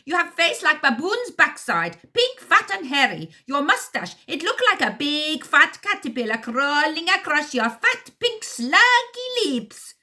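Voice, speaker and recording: A woman voicing a gruff, heavily accented, quick-tempered man who shouts insults in drawn-out words. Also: sits high, big pitch swings, pitched above the performer's own voice, heavily acted. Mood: furious.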